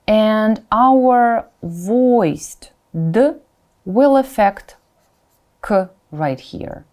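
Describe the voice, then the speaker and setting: A woman's voice: medium pitch, mellow. A woman teaching a pronunciation lesson, repeating example words distinctly and checking in with learners informally. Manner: informal asides, checks in with "right?". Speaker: Russian language teacher.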